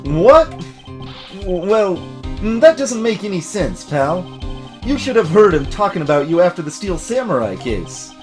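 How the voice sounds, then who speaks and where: A man's voice: high-pitched, big pitch swings, unhurried, faint music behind. A man voicing a bumbling, eager and friendly police detective from a video game, blunt and folksy in manner. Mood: exasperated, chatty. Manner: blunt, folksy, ends remarks with "pal".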